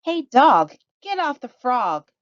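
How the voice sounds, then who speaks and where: A woman's voice: high-pitched, very animated, takes it slowly, no room sound, dull audio, pitched above the performer's own voice, heavily acted. A woman voicing a bossy, smug storybook frog who lays down rules in a commanding sing-song. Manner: commanding, matter-of-fact, sing-song rhyming.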